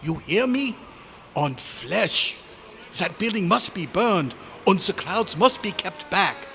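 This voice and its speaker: A man's voice: high voice, very animated, slow pace, vocal fry, pushed and bright, full character voice. A man playing a desperate scientist in an old-time radio drama, pleading urgently in formal, exclamatory phrases, recorded live. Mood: dread. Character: frantic, desperate male scientist warning of impending doom. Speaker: club member performing a radio play recreation. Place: hotel convention meeting room, live performance. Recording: noisy live convention room recording.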